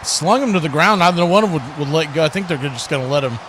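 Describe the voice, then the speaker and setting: A man's voice: fairly high pitch, fast. A man doing live radio play-by-play commentary of a football game as the announcer. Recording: clearly noisy live broadcast.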